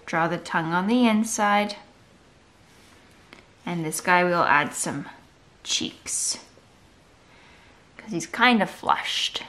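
A woman's voice: lowish voice, takes it slowly, pauses often, a bit bright. A woman art teacher giving a step-by-step drawing tutorial for children, in simple, encouraging instructional phrases.

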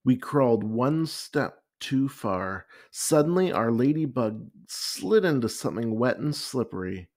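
A man reading a children's picture book aloud with expressive, deliberate storytelling. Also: medium pitch. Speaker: story time reader.

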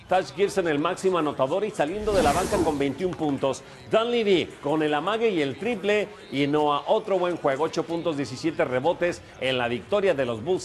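A man working as a sports anchor, narrating game highlights from a TV studio.

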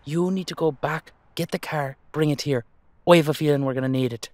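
A man's voice: a little high, brisk, a little mellow, no echo, lightly acted. Man playing a protective Irish dullahan. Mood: protective, affectionate. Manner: reassuring, colloquial. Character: protective, gruffly affectionate headless dullahan man, brotherly. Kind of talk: audio roleplay drama.

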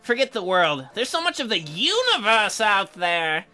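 A man's voice: high voice, very animated, measured pace, pushed and bright, soft background music, full character voice. A man voicing an earnest, goofy young farmer boyfriend who dreams big, in a visual novel game. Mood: dreamy, earnest.

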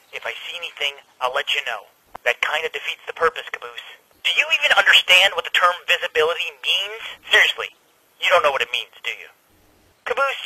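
A man's voice: a little high, sharp tone, breathy edge, heavily acted, rough recording. A man voicing an irritable, sarcastic young soldier who has become a ghost, in conversational, exasperated cartoon comedy dialogue.

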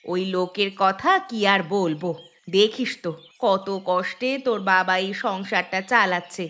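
A woman's voice: medium pitch, moderate pace, natural voice. A woman voicing a careworn poor village mother with an earthy, nagging manner in a fairy-tale cartoon. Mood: tender, careworn. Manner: nagging, earthy, sharp-tongued.

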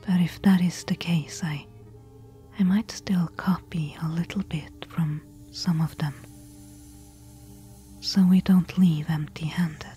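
A woman playing a careful, eager temple explorer in a soft-spoken ASMR audio roleplay, hushed and deliberate. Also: on the low side, steady tone, faint music behind. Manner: hushed, slow, deliberate whispering.

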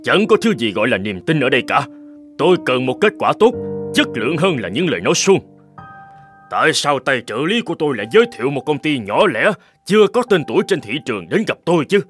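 A man plays a hard-to-please company director in a cartoon. He is blunt, dismissive and demanding, with a lively, swinging intonation at medium pitch, over background music. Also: quick pace. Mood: scornful. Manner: blunt, scornful, challenging. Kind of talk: dubbed cartoon dialogue.

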